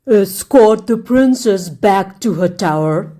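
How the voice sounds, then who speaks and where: A woman voicing a wicked king who is cold, commanding and menacing, and who speaks slowly and deliberately. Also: medium pitch, expressive, warm tone.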